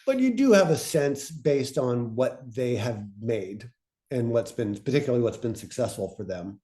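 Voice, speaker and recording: Guy giving an informal panel talk, soft mellow tone, thoughtful, kinda stop and start. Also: medium pitch, lively intonation, normal speed. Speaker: panelist presenting on podcast pitching.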